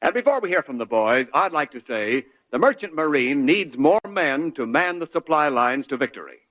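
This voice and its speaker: A man's voice: on the high side, sing-song, normal speed. A man with an American accent announcing in 1940s radio style. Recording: muffled old radio recording, audience behind.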